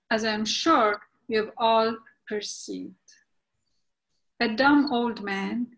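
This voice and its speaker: Woman playing a slow, halting storytelling mother. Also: on the high side, fairly bright tone, rough recording. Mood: wry. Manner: halting read, stumbling over words. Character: jolly, outspoken aging mother, storytelling narrator. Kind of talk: scripted verse play reading. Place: indoors on a video call. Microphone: video call.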